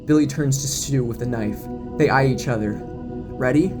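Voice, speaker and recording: A man's voice: medium pitch, moderate pace, over music. A man giving dramatic scripted narration as the narrator of a horror audio drama.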